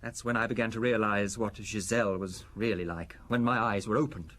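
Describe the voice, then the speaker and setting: An actor playing an anxious, fragile husband in an audio drama, halting and nervous yet obsessively insistent. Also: male voice, fairly low pitch, steady tone, a bit bright, natural voice. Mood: earnest, confiding. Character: anxious, fragile, obsessive husband fearing poison, quietly determined. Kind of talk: detective audio drama acting.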